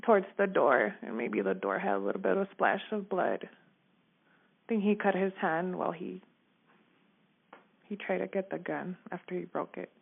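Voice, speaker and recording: A woman's voice: lowish voice, expressive, moderate pace, gravelly, pauses often, slightly rough. Woman on a narrow phone line. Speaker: store manager giving a witness account. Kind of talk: casual recounting in a phone interview. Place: telephone call.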